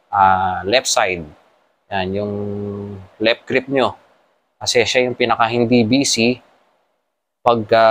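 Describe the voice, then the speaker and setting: A man's voice: fairly low pitch, fairly bright tone, slight background noise. A man with a Filipino accent giving a casual hands-on tutorial as a motovlogger, explaining step by step. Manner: explanatory, mixing Tagalog with English terms.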